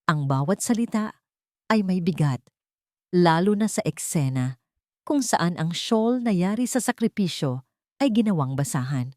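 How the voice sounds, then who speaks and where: A woman storyteller speaks in a fairly low voice that rises and falls a great deal. She narrates solemnly and sentimentally, with deliberate phrasing. The sound is dry, with no room around it. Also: lively intonation, darkish tone, dry recording. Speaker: storyteller, host of an audio story channel. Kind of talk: scripted dramatic story narration.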